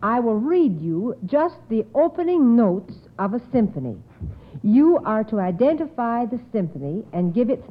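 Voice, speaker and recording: A woman hosting an old-time radio quiz in a formal, measured, encouraging way with precise wording. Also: a little high. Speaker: quiz show host. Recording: tinny, narrow old radio recording.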